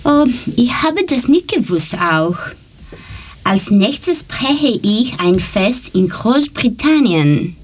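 A woman from Greece presenting a class segment in a slow, careful learner's manner. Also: a little high, very animated, slight background noise. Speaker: student presenter. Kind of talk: read-aloud class presentation.

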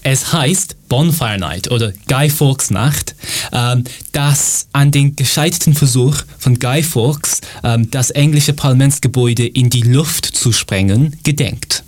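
A man's voice: mid-range voice, measured pace, a little mellow, no room sound. A man from Britain reading a scripted piece in learner German, with fillers and restarts.

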